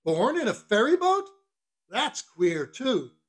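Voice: mid-range voice, sing-song, slow. A reader voicing a bossy, mocking whaling-ship officer in an audiobook, questioning with teasing mock solemnity. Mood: mocking, interrogating. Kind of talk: literary audiobook character reading.

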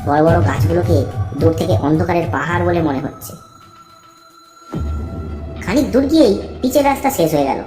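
A woman with a fairly low voice narrates a horror tale. Her delivery is dramatic and deliberate, with wide rises and falls in pitch. The sound is roomy and echoing, with soft music behind her. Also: expressive. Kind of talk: scripted first-person horror story narration.

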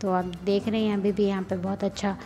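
A woman's voice: medium pitch, little pitch movement, brisk, warm tone. A young woman vlogger chatting casually as she guides viewers on a rainy hill trip, recorded on a phone camera. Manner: chatty, guiding viewers, mixes in English words. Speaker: travel vlogger. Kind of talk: casual travel vlog narration.

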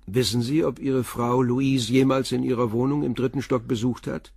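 A man playing a calm police inspector in a radio play, questioning witnesses in a measured, persistent way. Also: medium pitch, creaky, husky, no echo, studio quality. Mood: steady.

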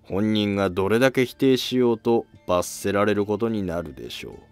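A man playing a calm, scheming, self-sacrificing court noble in formal archaic speech for a history drama. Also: on the low side, normal speed, smooth, no room sound, faint music behind. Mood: grave resolve.

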